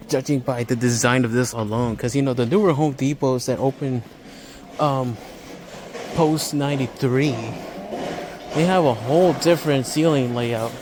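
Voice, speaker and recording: A man giving casual, halting vlog commentary like an absorbed hobbyist as he walks around a large store. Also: mid-range voice. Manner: halting, absorbed enthusiast's narration. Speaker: amateur vlogger. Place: large home improvement store, indoors. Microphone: handheld phone while walking. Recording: store ambience, noticeable background noise.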